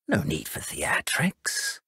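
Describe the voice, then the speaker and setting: An actor playing a scheming, condescending aristocratic wizard villain in a video game. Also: male voice, slow, bright, forceful tone, no echo. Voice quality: husky, smooth and condescending. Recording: dry studio recording.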